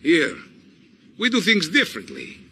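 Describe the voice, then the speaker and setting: An actor playing a suave middle-aged colonel with a Latin American accent, florid, theatrical and courteous, in a video game. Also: male voice, fairly high pitch, wildly swooping pitch, a little slow, vocal fry, pushed and bright, slight background noise, full character voice. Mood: effusive, genial. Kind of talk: video game cutscene acting.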